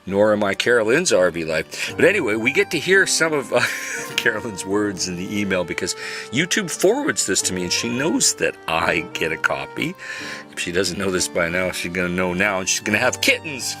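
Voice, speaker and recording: A man's voice: lowish voice, big pitch swings, moderate pace, music in the background. A man from Canada giving a sarcastic, chuckling commentary monologue as a channel host. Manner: sarcastic, chuckling, mock-polite.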